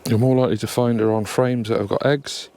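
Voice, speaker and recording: A man's voice: medium pitch, a little fast. A man with an English accent, sniffly from hay fever, chatting casually outdoors as he inspects his beehive in a bee suit. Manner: relaxed, chatty, thinking aloud. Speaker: beekeeper. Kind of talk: casual narration while working. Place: outdoors at a hive in a grassy apiary. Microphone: camera near the hive, wearing a bee suit.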